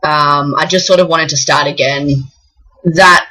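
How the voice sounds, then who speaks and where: A young Australian man from the south coast of New South Wales, talking frankly and in a rambling way in a personal vlog, with many pauses. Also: on the high side, fairly even intonation, bright, forceful tone, poor audio. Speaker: vlogger. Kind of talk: casual personal vlog talk.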